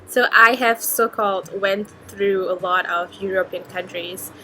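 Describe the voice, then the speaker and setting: A woman's voice: medium pitch, fairly even intonation, a little slow, sharp tone, a little hiss or hum, low-quality recording. A woman in her twenties chatting in a casual Q&A vlog, upbeat and chatty with frequent giggles. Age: 20s. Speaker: book vlogger.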